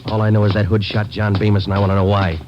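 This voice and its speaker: An actor playing a terse, dogged police detective in an old radio drama, with clipped, businesslike, insistent delivery. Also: male voice, fairly low pitch, completely flat delivery, racing, music in the background, lightly acted. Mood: grim, clipped. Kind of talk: 1940s-50s radio drama acting. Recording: muffled, noisy vintage radio recording.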